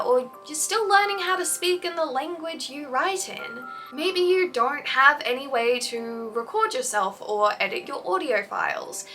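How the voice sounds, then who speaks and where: A young woman with a bright, slightly high voice delivers a scripted explainer in an earnest, encouraging tone. Her mic sits a little far from her, and faint music plays underneath. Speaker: fanfiction YouTuber.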